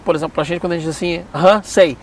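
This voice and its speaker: A man's voice: on the high side, steady tone, racing. A Brazilian man with a Curitiba accent, chatting casually as an interview guest and often tacking on "entendeu?". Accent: Curitiba Brazilian Portuguese. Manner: talkative, opinionated, frequent "entendeu?".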